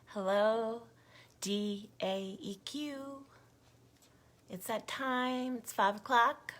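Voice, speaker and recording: A woman hosting a casual livestream with a hoarse, failing voice, talking indignantly to her viewers. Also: fairly high pitch, steady tone, drawn-out words. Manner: indignant, gets louder when riled up. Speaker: activist livestream host.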